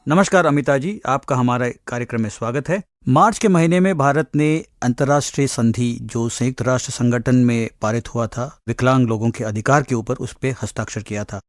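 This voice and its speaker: A man's voice: medium pitch, fairly quick, hardly any pauses, no echo, soft background music. A man interviewing a guest in a radio studio, asking formal, polite questions.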